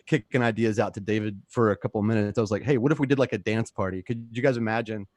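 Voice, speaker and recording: A man's voice: fairly low pitch, steady tone, fast, darkish tone, no echo, studio quality. An American man co-hosting a livestream in a chatty, informal way, sometimes stumbling over the starts of words. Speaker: co-host. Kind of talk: casual livestream chat. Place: at home on a multistream video call.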